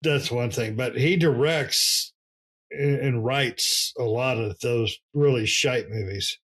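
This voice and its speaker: A man's voice: medium pitch, slow, a bit bright. A man co-hosting a podcast with casual, joking banter about movies and some offhand swearing. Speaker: podcast co-host.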